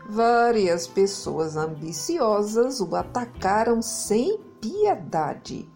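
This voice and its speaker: A Brazilian woman narrating a children's story in an expressive, dramatic way, leaning on key words. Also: medium pitch. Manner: dramatic, emphasizes and repeats words. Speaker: children's storyteller, podcast host.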